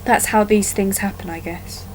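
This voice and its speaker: Woman doing a monologue as haunted young woman, halting and deliberate, medium pitch, slightly noisy room sound. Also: steady tone, natural voice. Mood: pained, subdued. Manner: halting, deliberate storytelling with pauses. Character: wounded young woman haunted by a childhood dog attack. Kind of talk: dramatic monologue.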